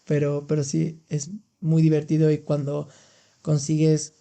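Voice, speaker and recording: A man's voice: fairly high pitch, monotone, unhurried, gravelly, mellow. A young man, hosting a casual language-learning podcast and explaining expressions patiently with examples. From Andalusia, Spain. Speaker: podcast host and language teacher. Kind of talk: casual educational chat.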